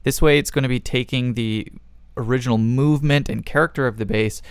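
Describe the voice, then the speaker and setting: A man's voice: mid-range voice, fairly quick, clean tone, dry recording. A man hosting a music production tutorial, explaining things step by step in a casual way.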